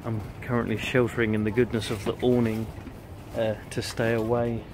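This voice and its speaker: A man with a fairly low voice gives relaxed, chatty vlog narration. He is recorded outside at a campsite, and wind and outdoor noise are clearly audible around him. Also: moderate pace. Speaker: vlogger and youth camp leader. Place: outdoors on a campsite, under a tent awning. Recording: windy, noisy outdoor camera sound. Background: wind and outdoor ambience.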